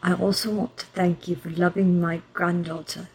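A woman's voice: fairly low pitch, normal speed, vocal fry, slight background noise. A woman playing a resolute elderly grandmother. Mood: warm. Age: older. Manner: steady, rallying letter-reading. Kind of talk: audio drama acting.

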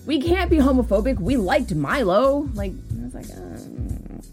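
A woman's voice: a little high, very animated, unhurried, darkish tone, soft background music. A woman co-hosting a casual podcast, joking sarcastically and swearing. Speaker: podcast co-host. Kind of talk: casual reaction chat.

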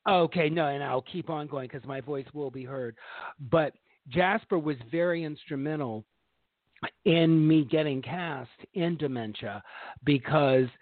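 Guy rambling on about his stage stuff, bit higher voice, sounds like a tinny phone call, super dry. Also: unhurried, no room sound. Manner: animated, long-winded storytelling. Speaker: talk show co-host and stage actor. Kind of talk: casual chat. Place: Los Angeles.

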